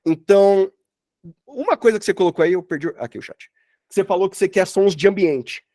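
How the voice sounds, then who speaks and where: A man's voice: high voice, brisk, mellow, dry recording, studio quality. A man with a Brazilian accent giving an informal online lesson as a sound designer, explaining with colloquial examples and slangy asides. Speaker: sound designer teaching a workshop. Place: indoors, on a video call.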